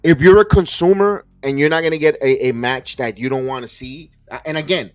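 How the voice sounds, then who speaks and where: A man's voice: on the high side, fairly quick, no room sound. A fired-up man hosts a live podcast, tripping over his words as he rants. His voice sits dry and close to the mic. Faint music plays underneath. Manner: fiery, stumbling repeats, swears. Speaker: podcast host. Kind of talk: heated wrestling podcast rant.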